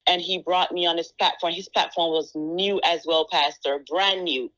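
A woman's voice: lowish voice, moderate pace, dry recording, low-quality recording. A woman with a Jamaican accent giving a conversational personal testimony, quoting people as she tells it and often checking in with "right?". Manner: animated storytelling, acting out quoted remarks, repeated "right?" tags. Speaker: pastor and show guest giving testimony.

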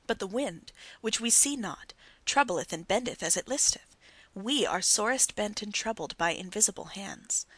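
A solemn, wise prophet, read out by a woman narrator, speaks in measured, archaic cadences. The voice stays even and mid-pitched, close and dry like a home audiobook recording. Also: breathy edge, no echo.

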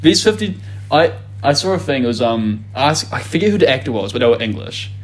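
A man's voice: mid-range voice, very animated, fairly quick, slightly rough, soft background music. A young man from New Zealand with a New Zealand accent, chatting casually as a podcast co-host, rambling, swearing freely and laughing often. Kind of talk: casual chat.

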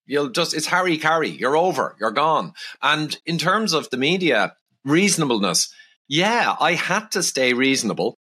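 Calm Irish man with a lively voice. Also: on the high side, husky. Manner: calm, measured, reasonable. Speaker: podcast guest. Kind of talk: casual podcast conversation.

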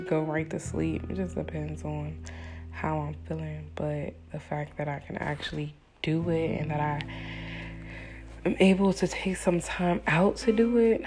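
A woman hosts her own podcast in a hushed, deep, slow voice, keeping it low so the baby stays asleep. She talks candidly and casually, in an even tone with little rise and fall. Plucked guitar music plays clearly underneath. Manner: talking low and soft so as not to wake a baby; relaxed, candid, with fillers and some swearing. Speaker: podcast host. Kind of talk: casual solo podcast monologue.

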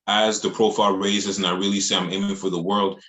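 A man with a deep, low-pitched voice. He talks like an artist in a relaxed interview, earnest and slangy, circling back over his phrases as he makes a point. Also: a little fast. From Montreal, Canada. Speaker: music artist being interviewed. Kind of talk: casual interview chat.

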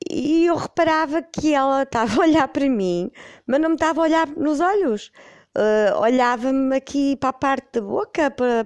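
A woman's voice: medium pitch, darkish tone, smooth, no room sound, studio quality. A middle-aged woman with a European Portuguese accent, congested with a cold, telling a personal story in a rambling, amused way on her podcast. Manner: rambling, self-correcting, amused. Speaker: podcast host.

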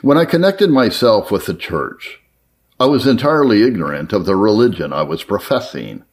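A man with a low voice narrates an old formal letter. His intonation moves widely up and down, while his phrasing stays measured and earnest. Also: big pitch swings, slightly rough. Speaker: narrator reading historical religious writings.

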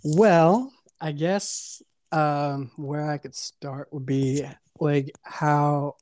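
A man's voice: a little high, steady tone, drawn-out words, a little mellow, no echo. A man hosting a casual podcast interview, hesitant and restarting his questions often. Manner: hesitant, full of fillers and restarts. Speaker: podcast host.

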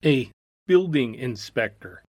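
Woman doing a calm, diplomatic ex-inspector. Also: rumbling low, slow, no echo, studio quality, voice pushed down, exaggerated voice. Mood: matter-of-fact. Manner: calm, matter-of-fact, diplomatic. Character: diplomatic dry-witted male former building inspector. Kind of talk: audiobook character voice.

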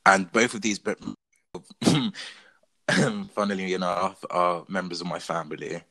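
A young Englishman with a low, deep voice and a bright, forceful edge to it, speaking earnestly in a casual conversation. He talks from the heart and stops and restarts mid-thought as he works through his own experiences. The sound is close and dry. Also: unhurried, no room sound, low-quality recording. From England. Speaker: discussion participant. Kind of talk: casual discussion.

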